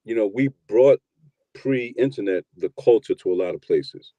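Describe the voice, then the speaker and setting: A man in his fifties from New York telling his story in a relaxed, rambling interview, often repeating words as he starts. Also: fairly low pitch, normal speed, no echo, lo-fi sound. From New York, USA. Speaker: guest, producer and DJ.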